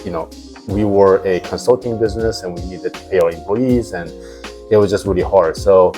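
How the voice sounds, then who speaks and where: Interview guest: low-pitched male founder, Japanese first language, halting casual speech, soft music underneath. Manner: hesitant, many fillers and restarts. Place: house in Palo Alto.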